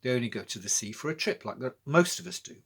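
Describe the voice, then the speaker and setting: Man, very lively children's poetry reader. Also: medium pitch, quick pace, creaky, husky. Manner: addresses young listeners directly with questions. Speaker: poet reading own children's poems. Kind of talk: children's poetry reading with friendly explanatory chat.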